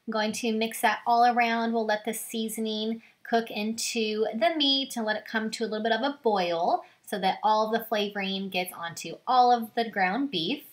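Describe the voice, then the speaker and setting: A woman's voice: on the high side, normal speed, hardly any pauses. A young woman explaining a recipe step by step in an upbeat, plain way, filmed in her home kitchen on a camera set some distance away. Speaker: home cooking vlogger. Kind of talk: step-by-step cooking demo. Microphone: far camera. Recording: echoey kitchen, faint hum.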